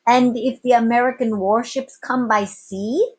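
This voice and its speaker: A woman voicing a commanding, wry male sea captain in a storybook, formal and brisk in manner. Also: on the high side, fairly even intonation, slightly rough, voice pushed up. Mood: wry, challenging.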